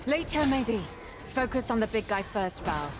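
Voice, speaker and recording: A woman's voice: a little high, very animated, moderate pace, heavy background noise. A woman voicing a resolute, protective warrior heroine in a video game, with a firm, earnest, caring manner. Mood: firm concern. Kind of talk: video game cutscene acting.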